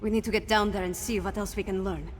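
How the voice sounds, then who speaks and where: A woman's voice: mid-range voice, fairly even intonation, fast, slight background noise, natural voice. An actress playing a fierce, determined young noblewoman rebel in a fantasy video game, impassioned and earnest. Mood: grave, wary. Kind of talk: dramatic scripted video game dialogue. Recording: long reverb, game music behind.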